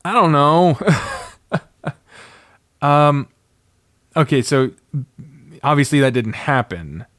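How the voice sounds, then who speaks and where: A man's voice: fairly high pitch, big pitch swings, slow pace, pauses often, fairly bright tone, no room sound, clean studio sound. A man hosting a solo podcast about games, casually thinking aloud in a rambling, sweary commentary. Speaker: podcast host.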